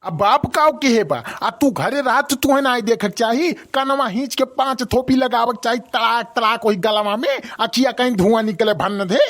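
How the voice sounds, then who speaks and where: A man's voice: very high voice, normal speed, few pauses, a bit bright. A man voicing a scolding, sarcastic village father in a comic cartoon, with an Awadhi accent. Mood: angry scolding. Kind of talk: comic rustic cartoon dialogue.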